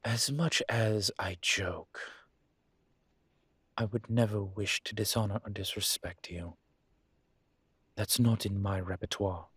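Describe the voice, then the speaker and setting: A man playing an ancient incubus teacher in an intimate audio roleplay, courteous and earnest, with measured, hesitant, formal speech and a husky voice. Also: fairly low pitch, fairly bright tone, no echo, heavily acted. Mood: earnest, careful. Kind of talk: intimate one-sided audio roleplay acting.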